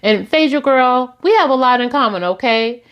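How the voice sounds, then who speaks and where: A woman's voice: high voice, expressive, moderate pace, highs cut off. A woman, recapping reality TV in a sassy, chatty way and laughing often. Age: 40s. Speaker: recap host.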